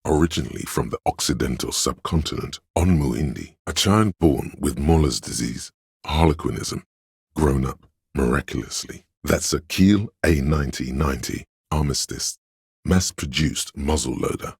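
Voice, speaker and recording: A very deep, gravelly know-it-all inner voice. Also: male voice, moderate pace, no room sound, clean studio sound, full character voice. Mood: lecturing, matter-of-fact. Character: pedantic know-it-all inner voice, a walking encyclopedia of trivia. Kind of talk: dramatic game narration.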